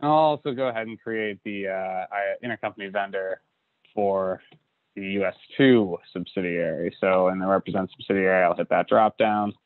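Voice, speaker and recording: A man giving a relaxed screen-recorded software walkthrough, with lots of uh and you know. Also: sits low, normal speed, no echo. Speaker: software instructor. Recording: dry tinny lo-fi audio.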